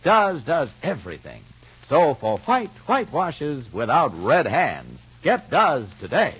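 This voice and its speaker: A male radio announcer with a swooping, expressive delivery, dramatic in the story and persuasive in the ads. His slightly husky voice comes through a dull, lo-fi old broadcast recording. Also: on the high side, slow pace. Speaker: announcer and narrator.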